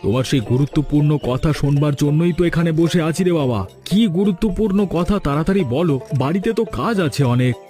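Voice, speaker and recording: A man's voice: fairly high pitch, quick pace, few pauses, warm tone, faint music behind, natural voice. A man voicing an earnest, hard-working village father in a cartoon fairy tale. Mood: impatient.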